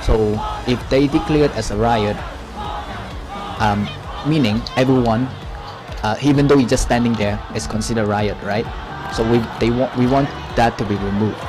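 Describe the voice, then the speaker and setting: A man from Hong Kong speaks as an interview guest in a medium-pitched voice. He explains things off the cuff, halting to find words and then pressing his point. Faint music runs underneath. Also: crowd noise. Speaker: interview guest, protest supporter. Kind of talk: unscripted explanatory interview answers.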